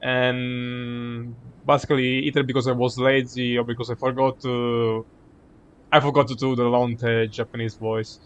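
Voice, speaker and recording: Halting male game streamer. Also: medium pitch, flat delivery, clean tone, highs cut off. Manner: halting, informal, rambling. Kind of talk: casual livestream chat over gameplay.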